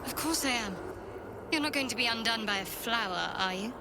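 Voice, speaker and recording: A woman's voice: fairly high pitch, animated, brisk. A woman playing a guarded, wry half-elf cleric in a video game, dry and teasing but composed. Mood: wry, encouraging. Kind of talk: video game character acting. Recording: loud background ambience noise.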